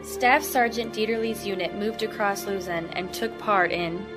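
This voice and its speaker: A woman's voice: medium pitch, moderate pace, music in the background. A woman narrating a documentary from a script.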